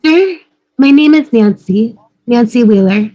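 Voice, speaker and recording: An earnest young woman, acted by a woman in an amateur table read. She is polite and composed, with a fairly high voice. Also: lively intonation, measured pace, warm tone, rough recording.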